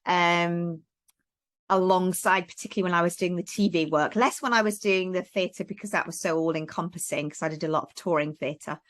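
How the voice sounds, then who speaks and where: A woman's voice: on the low side, a little fast, a bit bright. A middle-aged woman from Wales, talking casually as a guest on a video call, with lots of fillers and restarts. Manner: chatty, many fillers and restarts. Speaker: guest, parenting coach. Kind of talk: casual interview chat.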